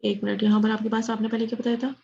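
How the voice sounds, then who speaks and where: Woman teacher, pretty flat pitch, keeps asking questions, slips into english, muffled lo-fi sound. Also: very fast, vocal fry. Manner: questioning, checks understanding often; mixes English phrases into speech. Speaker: statistics teacher. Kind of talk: interactive online math lesson. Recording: dull lo-fi audio.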